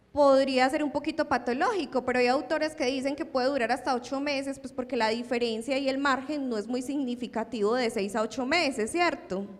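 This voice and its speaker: A woman giving a talk at an academic conference, lively and full of rhetorical questions to her audience. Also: sits high. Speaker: lecturer. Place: lecture hall. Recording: long hall reverb.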